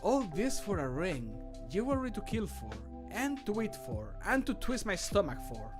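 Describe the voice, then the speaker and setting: A man's voice: high voice, big pitch swings, moderate pace, music in the background, voice pushed up. A man voicing a sardonic, disgusted male adventurer companion for a fantasy game mod, in a dry grumble. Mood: disgusted, annoyed. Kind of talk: scripted video game mod voice lines.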